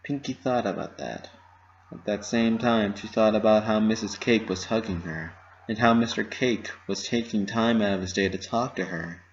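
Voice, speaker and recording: A man's voice: lowish voice, normal speed. A man narrating a story as a scripted dramatic reading. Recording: slightly muffled lo-fi recording.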